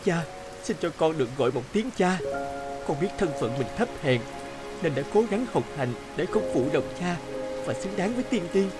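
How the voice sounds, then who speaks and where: An actor playing a humble, devoted young scholar in an animated drama, earnest and deferential, full of feeling. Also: male voice, high voice, normal speed, over loud music. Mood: tearful, heartfelt. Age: young adult.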